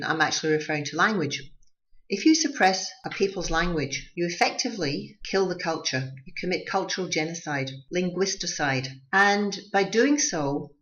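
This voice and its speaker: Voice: medium pitch, a bit bright. Mixed Scottish-Durham accented radio host. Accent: mixed Scottish and Durham English. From Scotland, raised partly in Durham, England. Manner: measured, reflective, with frequent restarts. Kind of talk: political commentary monologue. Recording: dull lo-fi recording.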